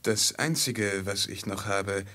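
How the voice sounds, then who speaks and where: Ein Schauspieler spielt in einem Hörspiel der 1950er einen treuen, besorgten Diener in Bettlergestalt mit belegter Stimme. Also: male voice, on the low side, takes it slowly, sharp tone. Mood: anxious, pleading. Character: loyal servant in beggar's guise, anxious, practical. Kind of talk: 1950s German radio play acting. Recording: 1950s mono radio drama.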